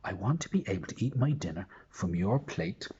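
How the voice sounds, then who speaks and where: A man voicing a small eager frog that croaks "ribbit" and begs politely, in a storybook reading recorded on dull lo-fi audio with a faint hiss. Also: lowish voice, big pitch swings, brisk, a little mellow. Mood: wheedling. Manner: croaky ribbit sounds, wheedling.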